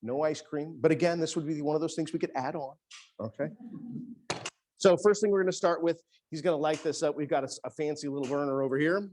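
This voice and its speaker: Chatty chef with a higher voice. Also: male voice, brisk, a little mellow, slight background noise. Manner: casual, chatty, many restarts. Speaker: executive chef. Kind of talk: cooking demonstration. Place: teaching kitchen.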